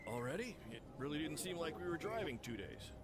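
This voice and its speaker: A man playing a glib, lazy, excitable wrestling promoter sidekick in a video game cutscene. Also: a little high, brisk, noisy background. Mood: groggy, grumbling.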